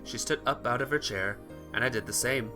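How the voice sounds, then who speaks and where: A man with a mid-pitched voice reads visual novel narration aloud for a playthrough. He stumbles now and then over the words, with music clearly playing behind him. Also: fairly quick. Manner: occasional stumbles while reading.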